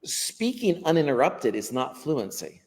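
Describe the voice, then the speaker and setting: Middle-aged Western American man, earnest teacher on a video call, lively delivery, reverberant room. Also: medium pitch, unhurried. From western United States. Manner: earnest, emphatic with frequent restarts. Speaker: guest, language teacher. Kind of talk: live-stream interview. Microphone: webcam on a video call. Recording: long hall-like reverb.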